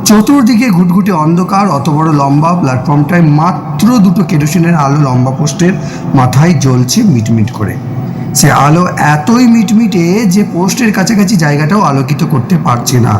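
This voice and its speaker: A man narrates a scripted story in the first person, in a measured, dramatic way with deliberate pauses. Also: a little high, lively intonation. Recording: music playing behind the voice. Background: clear background music.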